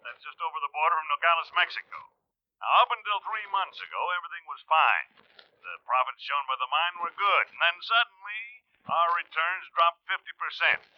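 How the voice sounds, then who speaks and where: A man's voice: fairly high pitch, very animated, fairly quick, dry recording. A man playing a brusque, commanding insurance executive on the telephone in a 1940s radio drama. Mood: brusque, urgent. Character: bossy, businesslike male insurance company executive. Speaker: radio actor. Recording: muffled, dry old radio recording.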